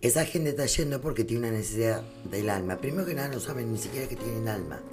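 A man's voice: mid-range voice, expressive, fast. A man hosting an opinionated talk show, emphatic and preachy, full of rhetorical questions. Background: guitar music.

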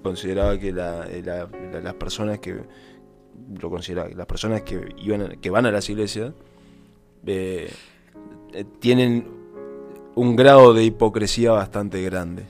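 Male co-host, low voice, hesitant delivery, faint guitar underneath. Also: fairly even intonation, moderate pace, creaky, darkish tone. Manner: hesitant, many fillers and restarts. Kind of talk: casual talk-show chat.

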